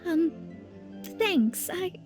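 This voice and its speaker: A high-pitched young woman astrologist from a video game. She is proud and learned, yet easily flustered, with music behind her. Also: expressive, drawn-out words, darkish tone, exaggerated voice. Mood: awkward, flustered.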